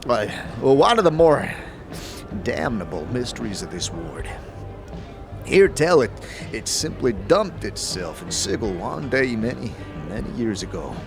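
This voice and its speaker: A man playing a sickly old ex-warrior in a fantasy audio drama, with a gravelly wheeze, stammering, chuckling folksy slang. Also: medium pitch, sing-song, unhurried. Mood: grumbling, musing. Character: sickly old ex-warrior tavern drinker, wry and grumbling. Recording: music under the voice.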